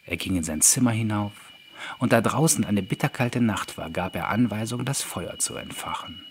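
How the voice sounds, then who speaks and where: A man's voice: lowish voice, normal speed, slight background noise. A man narrating a scripted horror story as an audiobook reader. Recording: background music under the voice. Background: music.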